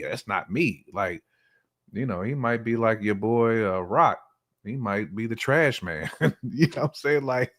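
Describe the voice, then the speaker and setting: A man's voice: on the low side, a bit bright. A man chatting casually and slangily, often acting out imagined quotes as he gives relationship opinions. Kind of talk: casual conversational chat.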